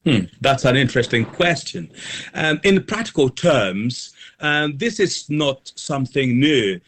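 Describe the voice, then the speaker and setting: Male interview guest, medium pitch, formal and measured, speaking in long, carefully worded answers. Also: lively intonation, fairly bright tone.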